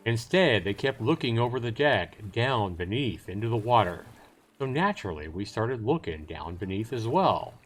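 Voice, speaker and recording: Man doing a theatrical seafaring trader, lively pitch. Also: moderate pace. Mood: boastful, suspenseful. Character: salesman-like seafaring trader spinning yarns of the sea. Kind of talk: dramatic storytelling.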